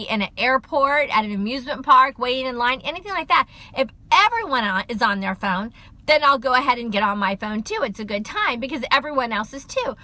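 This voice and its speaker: A middle-aged woman, a counselor chatting loudly and quickly to viewers on a phone livestream. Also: on the high side, dry recording. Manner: loud, fast, chatty, addresses viewers as y'all. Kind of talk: casual livestream talk to viewers.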